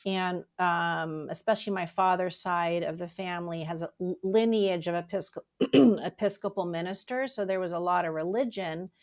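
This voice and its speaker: A woman's voice: fairly low pitch, normal speed. A middle-aged woman from Germany telling her personal story as a therapist being interviewed. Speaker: interview guest, therapist and author.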